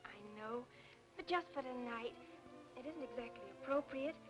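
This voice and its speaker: An actress playing a lively, fussing young sister in 1940s film noir style, brisk and wisecracking. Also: female voice, high voice, close to an everyday voice, low-quality recording. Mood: concerned, chiding. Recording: old film soundtrack with music underneath.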